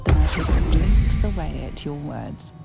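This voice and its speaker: An actress playing an ominous, composed narrator who delivers measured, portentous narration in a fantasy video game. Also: female voice, sits low, lively intonation, vocal fry, heavily acted. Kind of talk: scripted second-person narration. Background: loud background music.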